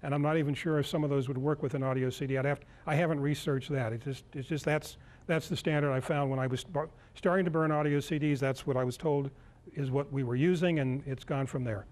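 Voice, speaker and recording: A man in his sixties giving an informal live demonstration as a lecturer, halting as he searches for words. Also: on the high side, steady tone, a little mellow. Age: 60s. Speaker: lecturer at a club workshop. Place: indoor meeting room, speaking to a group.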